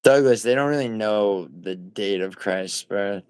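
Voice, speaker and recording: A man's voice: fairly low pitch, measured pace, darkish tone, clean tone, dry recording. A man from New City, chatting on a livestream in a rambling, profane way with lots of fillers and restarts. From New York City. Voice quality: nasal. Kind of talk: casual livestream chat, reading viewer comments.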